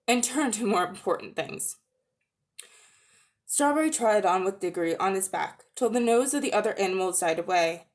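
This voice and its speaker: A woman narrating a children's story as a dramatic reading, expressive and deliberate. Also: medium pitch. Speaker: audiobook narrator.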